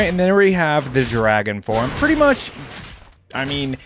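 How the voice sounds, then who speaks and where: A man's voice: fairly low pitch, background noise. A man giving casual reaction commentary on video game character skins, thinking aloud as he goes. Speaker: reaction channel host.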